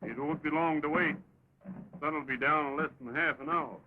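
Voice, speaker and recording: An actor playing a reserved, honorable American cowboy, laconic and plain-spoken, in early western talkie style. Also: male voice, on the high side, fairly even intonation, moderate pace, a little hiss or hum, natural voice. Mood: grave, resolved. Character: reserved, honorable cowboy reluctantly facing a gunfight. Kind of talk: early 1929 western talkie film acting. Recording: tinny, band-limited 1920s film soundtrack.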